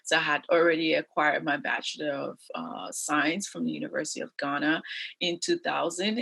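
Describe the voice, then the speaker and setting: A guest in an interview, a woman from Liberia in her forties, recounts her life in an earnest, thoughtful manner. Her voice carries a gravelly fry under a bright, forward tone. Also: low-pitched, rough recording. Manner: earnest, reflective storytelling.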